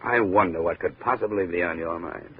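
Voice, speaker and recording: An actor playing a sardonic, wisecracking insurance investigator who also narrates a 1950s radio detective drama in a tough, blunt style. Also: male voice, sits low, brisk, breathy edge. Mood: dry, wry. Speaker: lead and narrator of detective series. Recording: noisy narrow-band old radio broadcast.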